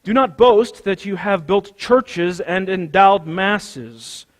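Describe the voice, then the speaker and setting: A man, a pastor, giving a solemn, declamatory devotional reading with deliberate, measured phrasing at a church service. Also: high-pitched. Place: large church during a service. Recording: long reverberant church hall.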